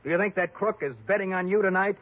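An actor playing a tough, principled American private investigator in an old radio drama, wry and hard-boiled. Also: male voice, sits high, quick pace. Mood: forceful pleading. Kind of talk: 1960s radio detective drama acting and narration. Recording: muffled, hissy old radio recording.

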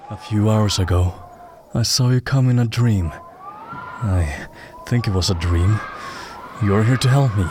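A man playing a dazed, obsessed mystic haunted by visions in an audio drama, speaking in halting, cryptic, trance-like phrases. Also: lowish voice, background noise, natural voice. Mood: dazed, eerie.

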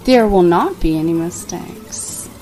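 An actress playing a young woman devoted to her controlling father, speaking in a soft, measured, quietly menacing way. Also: fairly low pitch, lively intonation, over music, poor audio. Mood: calm, sinister. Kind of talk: audio drama acting.

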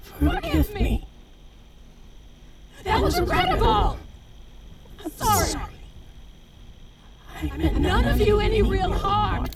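An eerie female android proclaims doom in an audio drama. Her childlike voice is piercingly high and slow, theatrical and fervent, heard at a distance over a loud crowd din. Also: animated, creaky, heavily acted. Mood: apologetic, eerie.

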